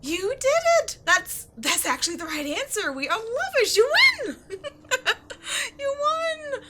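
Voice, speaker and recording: A woman voicing a giant female sphinx, a flirty, teasing and excitable temple guardian, in a playful, expressive audio roleplay. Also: very high-pitched, a little slow, heavy background noise, full character voice. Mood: overjoyed. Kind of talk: roleplay audio acting addressed to the listener.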